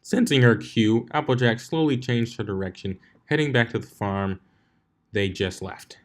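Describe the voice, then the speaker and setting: A man's voice: mid-range voice, moderate pace. A man reading a story aloud as its narrator, in scripted narration. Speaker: fan fiction reader, narrator.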